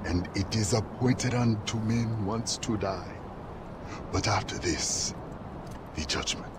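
An actor playing a fervent, gun-loving former warlord turned preacher in a game, theatrical, swinging from hushed to thundering. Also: male voice, fairly low pitch, slow, heavy background noise. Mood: hushed, grave. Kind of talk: scripture-quoting sermon in a game cutscene.

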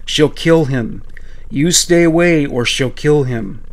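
A man's voice: a little high, slow. A man voicing a firm male shop clerk who gives urgent warnings.

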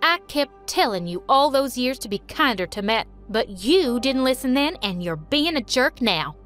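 A woman voicing a weary, loving middle-aged mother who speaks in a firm, measured way. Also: high voice, big pitch swings, a bit bright, faint music behind. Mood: stern.

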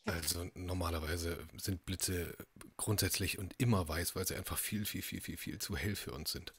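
A man with a deep, rough-edged voice improvises in a tabletop game. He speaks deliberately, repeating words for weight. The recording is dry and close. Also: fairly even intonation, a bit bright, no echo. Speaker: tabletop roleplaying player. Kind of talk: improvised in-character roleplay. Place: indoor home, video call.

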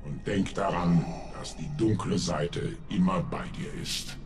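A man playing a menacing dark lord from a video game, speaking sternly and deliberately to his secret apprentice. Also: low-pitched, loud music behind the voice. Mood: cold, commanding. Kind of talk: dramatic game dubbing. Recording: echoey, faint background noise.

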